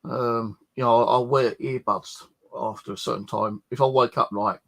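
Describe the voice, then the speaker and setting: A man's voice: mid-range voice, steady tone, normal speed, creaky, fairly bright tone. A man chatting casually and ramblingly about his own life, grumbling and swearing in a colloquial way. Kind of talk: casual rambling personal chat.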